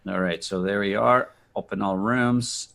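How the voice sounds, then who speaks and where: A man's voice: fairly low pitch, fairly even intonation, measured pace, gravelly, fairly bright tone. A man talking casually through a technical demo on a video call, using earbuds. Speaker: co-host demonstrating video meeting features.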